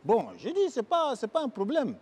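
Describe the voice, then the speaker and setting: A man's voice: extremely high pitch, big pitch swings, normal speed, darkish tone, exaggerated voice. A male comedian playing a boastful, exasperated president of a mock parliament, exclaiming and stammering over repeats. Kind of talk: stage sketch comedy.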